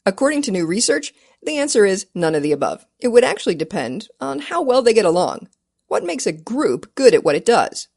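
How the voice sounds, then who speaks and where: A woman's voice: medium pitch, big pitch swings, a little fast, gravelly, darkish tone. A woman reading a scripted science report as a narrator.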